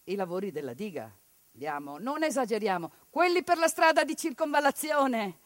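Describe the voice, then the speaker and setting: A woman performing a one-woman theatre monologue, speaking with passion and swinging between hushed lines and forceful ones. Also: fairly high pitch, no echo.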